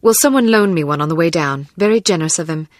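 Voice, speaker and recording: An actress playing a cool, unflappable spy in a video game, with dry, understated wit. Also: female voice, lowish voice, animated, quick pace, dry recording, natural voice. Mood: flippant.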